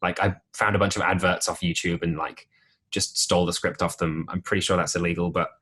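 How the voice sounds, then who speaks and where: A young man with a deep voice telling stories in a casual podcast interview, with plenty of ums and restarts. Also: fairly quick, slightly rough. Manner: relaxed storytelling with many ums and restarts. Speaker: podcast guest, musical theatre performer.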